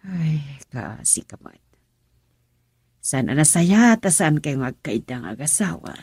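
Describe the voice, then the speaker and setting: Scolding middle-aged mother, Ilocano accent, radio drama, low husky voice, very expressive pitch. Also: slow, dry recording, studio quality, exaggerated voice. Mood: reproachful. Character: older mother, stern, scolding, worried over her son.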